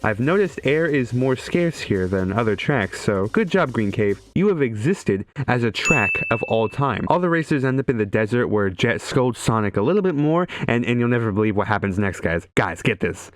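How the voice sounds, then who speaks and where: A man's voice: mid-range voice, expressive, normal speed, few pauses. A young man giving joking, irreverent video game commentary in a sarcastic, sweary way.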